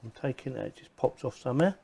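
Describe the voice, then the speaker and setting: A man with a soft, mellow voice talks through a gadget in an informal hands-on style. He sounds like he is thinking aloud, chatting casually to the viewer as he works things out. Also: medium pitch, animated, fast, gravelly, slightly rough. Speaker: video presenter reviewing gadgets. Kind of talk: casual hands-on unboxing commentary.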